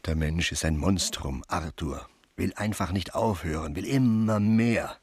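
An actor in a German radio drama playing a gruff, sardonic middle-aged man who needles his companion in a gravelly voice. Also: low-pitched, unhurried, darkish tone, no room sound. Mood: brooding. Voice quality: gravelly, raspy. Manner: sardonic, blunt, theatrical.

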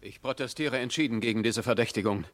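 An actor playing a cornered murder suspect in a radio crime drama, defensive, indignant and quick to protest. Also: male voice, medium pitch, lightly acted. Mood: indignant, agitated.

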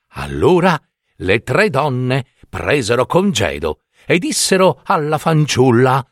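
A man telling a fairy tale in an expressive, theatrical and deliberate way, lingering on words. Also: medium pitch, no echo, clean studio sound. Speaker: storyteller.

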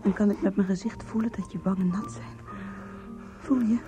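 An actress playing a young woman in love in a radio drama, speaking softly, tenderly and in a whispery voice. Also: mid-range voice, fairly even intonation, normal speed, over music, close to an everyday voice. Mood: tender, hushed. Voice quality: soft, whispery.

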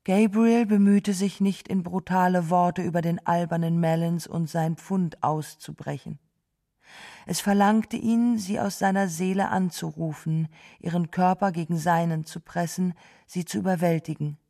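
A woman reading scripted literary narration in a dark voice with a gravelly edge. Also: on the low side, fairly even intonation, unhurried.

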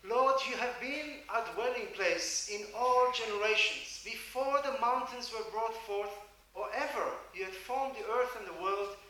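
A man whose mother tongue is Hebrew preaches in English, leaving gaps after each short phrase for his interpreter. His voice is high and bright. It rings through a very echoey church hall from some distance. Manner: speaks in short phrases, pausing for translation. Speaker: guest preacher. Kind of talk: sermon in English with live interpreter. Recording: echoey church hall, mic heard from afar.

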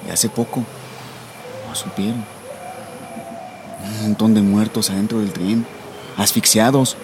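An actor playing an anxious, fatalistic Mexican migrant in a radio drama, with colloquial, brooding speech and a Mexican accent. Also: male voice, fairly low pitch, fairly even intonation, unhurried. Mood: fearful, brooding. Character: anxious, fatalistic Mexican migrant man waiting to cross the border. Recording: noisy recording with vehicle-like ambience.

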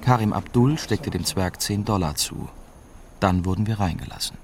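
Low-voiced German reporter narrating. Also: male voice, animated, normal speed, darkish tone, slight background noise. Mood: reflective. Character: young German male reporter narrating his Iraq story. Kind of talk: scripted first-person radio drama narration. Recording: clear music bed behind narration.

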